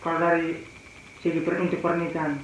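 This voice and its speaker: A man's voice: a little high, monotone, fast talker. A man from East Nusa Tenggara with an eastern Indonesian accent, answering questions as an interview guest. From Malaka, East Nusa Tenggara, Indonesia. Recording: very echoey, muffled, faint noise.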